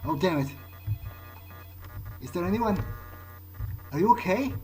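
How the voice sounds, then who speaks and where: A man's voice: high voice, animated, unhurried, a little mellow, faint music behind, low-quality recording. A man improvising in character during a game playthrough, hesitant, thinking aloud and repeating words. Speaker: gameplay host, role-playing his character. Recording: clear background noise.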